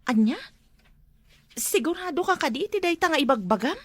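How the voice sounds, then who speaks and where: A woman's voice: sits high, expressive, brisk, dry recording. A woman playing an inquisitive, easily annoyed village customer in a comedy drama, with an Ilocano accent. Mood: startled.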